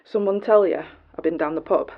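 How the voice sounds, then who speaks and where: A woman's voice: fairly low pitch, fairly quick, muffled recording. An actress playing a blunt, chatty woman with a Midlands accent who answers a call in a phone box. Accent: English Midlands.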